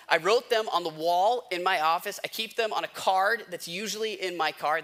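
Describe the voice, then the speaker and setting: American male pastor, fairly high bright forceful voice, conversational yet emphatic preaching. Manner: emphatic, repeats key words and questions with pauses. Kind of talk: conversational sermon.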